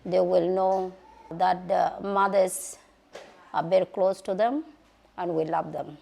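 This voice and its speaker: A woman's voice: lowish voice, steady tone, takes it slowly, vocal fry, warm tone. A middle-aged woman from Sudan, speaking plainly about her children and community. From South Sudan.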